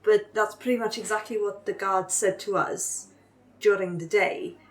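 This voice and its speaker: A woman's voice: mid-range voice, steady tone, normal speed, lightly acted. A woman playing a curious, forthright winged forest-dweller in improvised roleplay, questioning and matter-of-fact. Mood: inquisitive.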